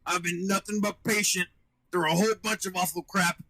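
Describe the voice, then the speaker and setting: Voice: medium pitch, fairly even intonation, fairly quick, bright, forceful tone. A performer in a live amateur fandub voicing a crude, hot-headed teenage anime boy in a brash manner. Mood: frustrated.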